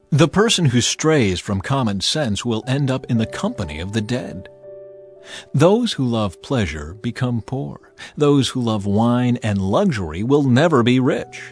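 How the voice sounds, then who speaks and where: A man reading scripture as scripted dramatized narration, in a measured, emphatic and expressive way. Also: medium pitch. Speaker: audiobook Bible narrator.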